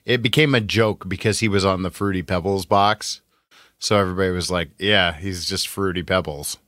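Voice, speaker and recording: A man's voice: low voice, fairly even intonation, normal speed, a bit bright, no room sound, clean studio sound. A man co-hosting a podcast, talking casually about pop culture. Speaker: podcast co-host.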